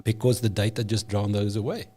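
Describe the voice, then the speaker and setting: Man, Afrikaans speaker, mellow tone, emphatic, opinionated, podcast guest. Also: lowish voice, fairly even intonation, fairly quick. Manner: emphatic, opinionated, frequent restarts. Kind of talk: casual conversation.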